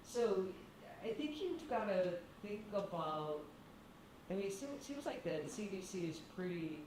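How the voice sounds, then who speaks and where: A woman's voice: mid-range voice, very animated, measured pace, a little mellow. A woman giving direct, probing questions and advice as a panelist responding to a presenter. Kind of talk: spoken feedback and questions. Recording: very echoey room, clear background noise.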